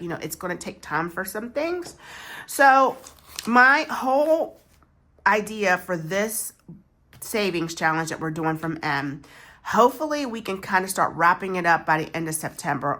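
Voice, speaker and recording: A woman's voice: medium pitch, pushed and bright. A woman, stuffy and congested from a chest cold, chatting casually to camera in a rambling, self-deprecating way while stuffing savings envelopes. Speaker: savings challenge vlogger.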